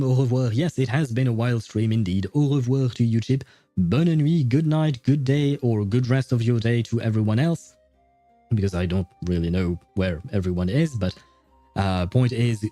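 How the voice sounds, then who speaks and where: A man with a mellow, relaxed voice talks to his livestream viewers. He rambles in a chatty, teasing way, recorded close and dry with faint music behind him. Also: mid-range voice, dry recording. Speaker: livestream host. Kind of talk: casual livestream sign-off chat with viewers.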